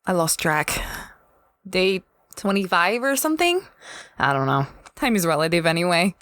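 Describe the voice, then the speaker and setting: A woman's voice: fairly low pitch, sing-song, moderate pace, a bit bright, dry recording. A woman playing an easygoing space explorer in an audio drama, with a casual, mild, musing delivery. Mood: reflective. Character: easygoing, peacekeeping female space explorer recalling past trips. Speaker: lead character and narrator. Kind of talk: audio drama narration and dialogue.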